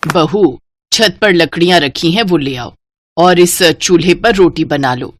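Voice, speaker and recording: A woman's voice: on the low side, a little slow, no room sound. Woman acting a bossy, stingy mother-in-law.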